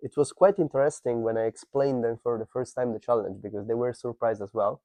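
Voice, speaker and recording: A man's voice: mid-range voice, warm tone, no echo. A man whose first language is Italian, talking through a project in a business podcast interview with fillers and restarts. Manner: measured, thoughtful, with frequent fillers and restarts.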